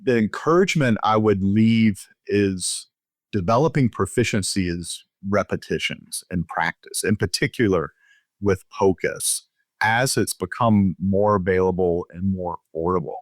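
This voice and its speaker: A man speaking as a physician guest expert, explaining methodically in a measured, instructional way during a medical interview. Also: deep voice, dry recording.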